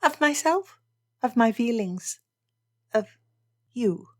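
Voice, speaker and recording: Casting: woman narrator as spirited, stubborn young gentlewoman, voice lifted, impassioned and emphatic, formal period romance audiobook, dry recording. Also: on the high side, lively intonation, slow, no room sound, pitched above the performer's own voice. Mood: agitated, alarmed.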